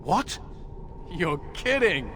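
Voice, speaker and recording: A man's voice: sits high, very animated, extremely slow, noisy background, heavily acted. A man playing a witty, flippant mage adventurer in a video game, with a playful, sardonic manner. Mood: amused.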